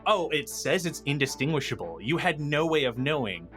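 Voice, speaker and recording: A man's voice: on the high side, very animated, normal speed, a bit bright, faint music behind. A man acting out a gloating, malicious dungeon master who taunts his players. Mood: gloating mockery. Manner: taunting, trollish. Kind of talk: acted mockery within casual chat.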